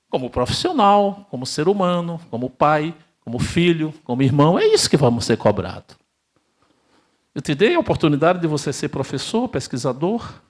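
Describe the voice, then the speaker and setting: Older man, emphatic spiritist lecturer. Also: fairly high pitch. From Brazil. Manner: emphatic, rhetorical questions, swings between loud and hushed. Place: spiritist center hall, live audience.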